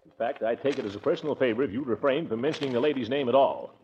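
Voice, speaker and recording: An actor playing a pompous, flustered middle-aged uncle, blustering and stammering in a 1940s radio comedy. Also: male voice, medium pitch, rapid-fire, warm tone, close to an everyday voice. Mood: indignant. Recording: muffled, noisy 1940s radio recording with clicks.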